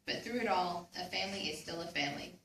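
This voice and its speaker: A woman's voice: lowish voice, fast talker. A young woman narrating scripted dramatic pieces as a student reader in a readers theater. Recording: echoey room, distant mic, faint noise.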